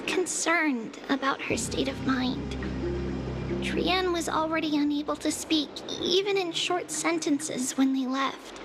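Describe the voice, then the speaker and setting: A tiny, gentle girl oracle from an anime game, speaks extremely high and slowly. She sounds wistful and childlike, and clear music plays behind her. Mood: wistful, earnest. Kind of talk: anime video game voice acting.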